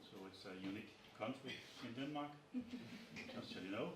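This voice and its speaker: A man gives a lively, self-deprecating self-introduction. His voice comes from far off in an echoey room, with noise running underneath. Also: medium pitch, fairly quick. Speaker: mentor introducing himself.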